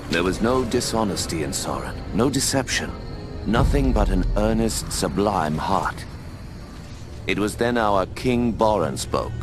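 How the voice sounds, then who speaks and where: An actor voicing an older male owl mentor who narrates gravely and urges young owls on missions, with game music behind. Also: sits low. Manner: measured, grave storytelling.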